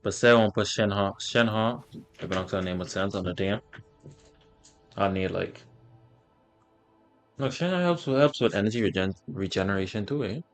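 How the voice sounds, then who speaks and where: Guy doing a game walkthrough, deep crackly voice, stumbles reading stuff out, faint music behind. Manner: halting, stumbles while reading aloud. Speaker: gaming streamer. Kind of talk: casual game walkthrough, reading on-screen text aloud.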